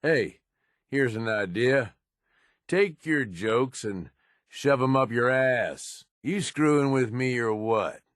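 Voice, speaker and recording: Video game raider henchman: hard-bitten, cynical, blunt. Low, very animated delivery, recorded close and dry. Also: slow, no echo. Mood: angry, fed up. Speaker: game companion character.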